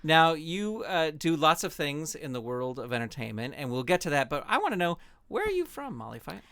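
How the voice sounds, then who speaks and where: A man's voice: on the high side, very animated, fairly quick, creaky, a bit bright, no echo. A man hosting a podcast interview, introducing and questioning a guest. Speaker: host and interviewer.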